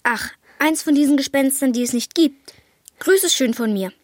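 A man's voice: very high-pitched, normal speed. A young male actor playing a cheeky, cool and loyal boy in a children's radio drama, speaking casually. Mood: sarcastic. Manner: casual, sarcastic.

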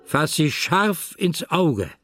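Ancient male sage, very slow declamation, swooping intonation, light music underneath. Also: a little high, big pitch swings, a bit bright, no room sound, clean studio sound, heavily acted. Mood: solemn. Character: solemn ancient philosopher reciting stoic maxims. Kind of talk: radio play recitation.

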